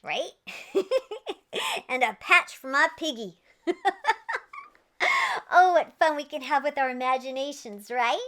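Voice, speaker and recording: A woman's voice: high-pitched, measured pace, long pauses, a bit bright. A woman reading a children's story aloud in a playful, theatrical way with exaggerated emphasis. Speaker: children's storybook reader and host.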